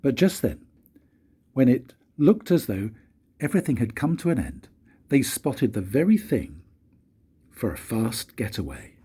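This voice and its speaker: An older man reads a children's story like a grandfather at storytime. His voice is soft-edged and raspy, and it rises and falls expressively. He takes his time, pausing for drama. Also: medium pitch, sing-song. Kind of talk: storybook narration.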